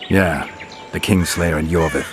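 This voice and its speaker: A man playing a stoic, blunt monster hunter in a video game, with terse, deadpan delivery. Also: deep voice, unhurried, a little hiss or hum. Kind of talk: video game cutscene acting. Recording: loud music behind the voice.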